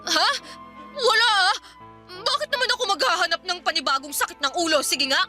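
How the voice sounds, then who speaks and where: Radio drama role: sharp-tongued Filipino young mother, very high, piercingly bright, confrontational and fed up, music faintly behind. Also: big pitch swings, fairly quick, full character voice. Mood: scornful, bitter. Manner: confrontational, accusing, nagging. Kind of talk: heated radio drama dialogue.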